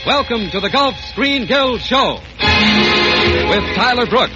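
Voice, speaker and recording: A man's voice: sits high, lively intonation, slow. A male announcer grandly proclaiming a scripted opening for a 1930s radio show. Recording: dull 1930s radio recording, loud music. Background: loud music.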